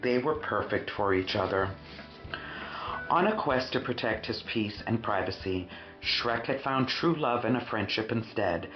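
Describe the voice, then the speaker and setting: A man reading a children's storybook expressively, with dramatic emphasis and drawn-out words. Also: on the low side, lively intonation. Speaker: storyteller, host of a read-aloud show. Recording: roomy, muffled sound with faint music.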